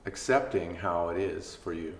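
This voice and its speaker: A man leading a guided body scan meditation as a mindfulness instructor, speaking gently with unhurried pauses between phrases. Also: low voice, vocal fry. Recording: echoey room, faint background noise.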